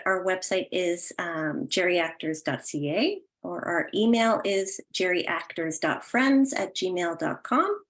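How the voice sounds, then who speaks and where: A woman's voice: mid-range voice, fairly bright tone. A woman hosting a virtual question and comment session, encouraging and affirming as she talks, hesitantly searching for words. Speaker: host of an online audience discussion. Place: online session, remote call.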